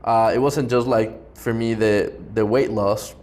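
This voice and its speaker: A man with a fairly low voice hosts a podcast in easy conversation. He rambles as he talks and leans on "like" at every turn, chasing his thought as it goes. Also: steady tone, unhurried, darkish tone. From Ecuador. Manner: rambling, heavy use of "like" fillers. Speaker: podcast host. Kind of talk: casual interview chat.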